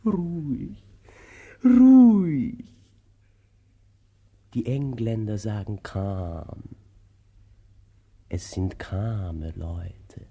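An actor playing a childlike, moody male tramp in a German radio play, theatrical and halting, moving between hushed words and exclamations. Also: lowish voice, animated, breathy edge, exaggerated voice. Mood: wistful, needling.

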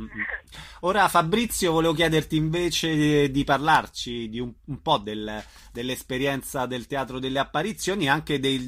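A man's voice: medium pitch, fairly bright tone. A man hosting an interview, putting questions to his guest and moving the talk along. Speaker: radio interviewer.

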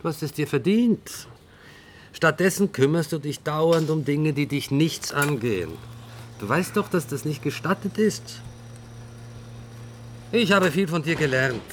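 An actor playing a middle-aged, officious police detective in a radio drama, speaking earnestly and with reproach. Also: male voice, on the high side, animated, a little slow, pauses often, a little hiss or hum. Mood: weary reproach. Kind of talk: naturalistic radio drama dialogue.

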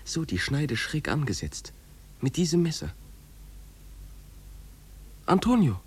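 An eager, husky-voiced runaway boy. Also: a little high, sing-song, slow pace, full character voice. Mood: eager, pleading. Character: eager dreamy runaway boy, adventurous and pleading. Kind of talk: 1950s German radio drama acting. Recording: 1950s radio production.

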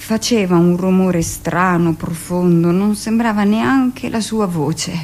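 An actress playing a middle-aged village-born midwife in an intimate, expressive theatrical monologue, with dramatic pauses. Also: female voice, on the low side, a little hiss or hum. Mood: reflective, absorbed. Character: 45-year-old village-born midwife, recounting childhood, emotional, earnest.

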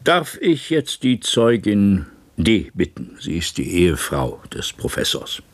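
An actor playing a grave, probing chairman of an inquiry, speaking formally and deliberately in a 1970s radio play. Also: low-pitched, wildly swooping pitch, exaggerated voice. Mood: formal, courteous.

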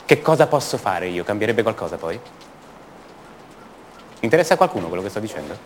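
A man's voice: on the low side, very animated, heavy background noise. An actor playing a restless, defensive young man from a privileged family in a radio monologue, hesitating and repeating words. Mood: defensive, bitter. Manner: hesitant, halting, repeats words, rhetorical tags. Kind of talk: theatrical monologue, radio drama.

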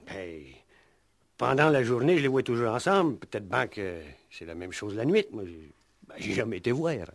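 A man's voice: mid-range voice, big pitch swings, normal speed, gravelly, no echo. A man playing a wary, discreet hotel porter in a 1960s French radio play, stammering and repeating himself colloquially. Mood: flustered, cautious. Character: wary, flustered male hotel porter, discreet but gossipy.

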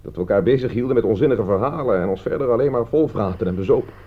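An actor playing a defensive thirty-year-old idler under questioning, speaking evasively in a radio play. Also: male voice, lowish voice, rapid-fire, natural voice. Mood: explaining, wry. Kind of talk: Dutch radio drama dialogue. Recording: dull, band-limited old radio recording.

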